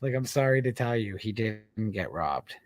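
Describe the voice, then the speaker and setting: A man's voice: fairly low pitch, lively intonation, moderate pace. A man co-hosting a casual live fight watch-along, joking and teasing his friends and laughing often. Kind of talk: casual sports chat.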